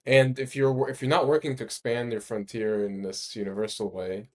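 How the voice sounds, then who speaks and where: A man explaining his ideas in a casual discussion, halting and rephrasing emphatically as he goes. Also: mid-range voice, little pitch movement. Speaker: speaker explaining a research ranking system.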